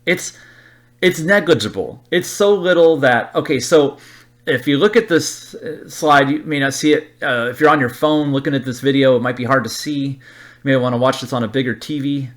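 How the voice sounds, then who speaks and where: A middle-aged man with an American accent explains slides informally as a hobbyist, halting and repeating phrases for emphasis. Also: medium pitch. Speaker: radio hobbyist presenting an antenna review.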